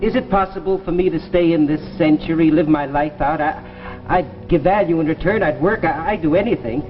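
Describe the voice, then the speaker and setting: An actor playing an eager, anxious young lab assistant who travels through time, earnest and stammering, in 1950s sci-fi drama style. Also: male voice, on the high side, fairly quick, lightly acted. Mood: pleading. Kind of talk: 1950s radio-era sci-fi drama acting. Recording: dull vintage broadcast, long reverb, music behind.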